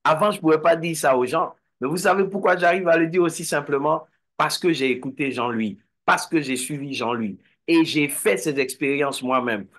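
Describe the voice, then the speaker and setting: Man, kinda high voice, pushy motivational speaker vibe, says things twice. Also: moderate pace, few pauses, fairly bright tone. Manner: exhorting, preachy, repeats phrases. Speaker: network marketing speaker. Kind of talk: motivational talk to an online meeting.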